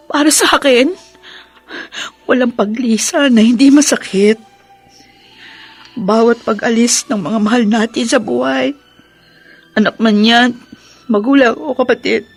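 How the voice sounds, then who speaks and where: A woman's voice: fairly high pitch, vocal fry, slightly rough, exaggerated voice. A woman playing an anxious, pleading young daughter in an emotional Tagalog radio drama. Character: anxious young daughter fearing her sick mother's death.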